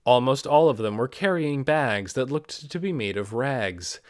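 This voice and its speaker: Expressive man narrating, very lively pitch. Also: measured pace, no room sound, clean studio sound. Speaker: amateur audiobook reader. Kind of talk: scripted fantasy novel narration.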